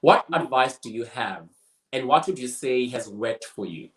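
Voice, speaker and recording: A man hosting an interview, asking measured, encouraging questions with pauses. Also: mid-range voice, expressive, roomy sound. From South Africa. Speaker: interview host. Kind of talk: conversational interview.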